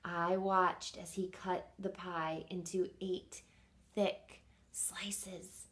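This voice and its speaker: A woman reading a picture book aloud expressively as a teacher does for children, pausing to ask simple questions. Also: lowish voice, little pitch movement, a bit bright. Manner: pauses to quiz listeners with simple questions.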